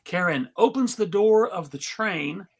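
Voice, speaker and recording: Someone reading out script directions, slowish, creaky voice, kinda wry. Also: mid-range voice, animated. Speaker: stage directions reader.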